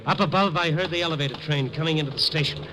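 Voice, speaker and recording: An actor playing a wisecracking hardboiled reporter. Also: male voice, fairly high pitch, racing, heavy background noise, natural voice. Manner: dry, wisecracking, laconic. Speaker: narrator. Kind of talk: 1950s radio drama narration. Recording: old radio broadcast, music bed.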